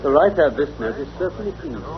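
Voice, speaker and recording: Vintage radio drama, muffled: male actor as analytical sleuth, authoritative, precise, highly varied pitch. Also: animated, fast talker, very noisy, lightly acted. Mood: brisk, courteous. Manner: precise, clipped, authoritative deduction. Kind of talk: old-time radio drama acting. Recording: dull vintage radio recording.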